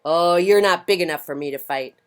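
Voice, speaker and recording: A woman voicing a grouchy, boastful male ladybug for a children's storybook, loud, bossy and challenging. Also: fairly low pitch, a little fast, lightly acted. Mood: dismissive. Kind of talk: children's storybook character reading.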